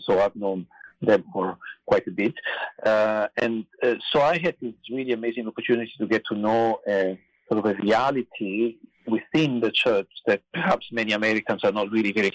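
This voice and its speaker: A middle-aged man from Italy with an Italian accent, telling a story as a guest on a podcast, pausing often with fillers. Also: fairly low pitch, fairly even intonation, dry recording, low-quality recording. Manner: hesitant, many "uh" and "you know" fillers. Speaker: guest, theology professor.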